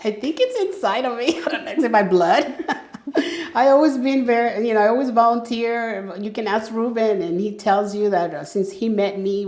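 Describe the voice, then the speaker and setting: A woman's voice: mid-range voice, darkish tone. A middle-aged woman from El Salvador, talking casually as an interview guest with many fillers and restarts. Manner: conversational, frequent fillers and restarts. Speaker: guest entrepreneur. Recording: echoey room.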